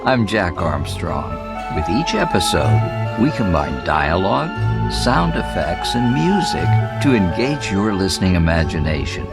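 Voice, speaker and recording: Deep, gravelly male announcer over music. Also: big pitch swings, unhurried. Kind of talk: scripted radio show introduction. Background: loud music.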